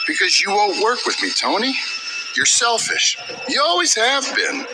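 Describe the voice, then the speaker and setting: A man's voice: extremely high pitch, huge pitch swings, moderate pace, loud music behind the voice, full character voice, poor audio. An actor playing a ruthless, scheming businessman villain in a video game, a man with a domineering, goading, theatrical manner. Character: ruthless scheming male corporate rival businessman.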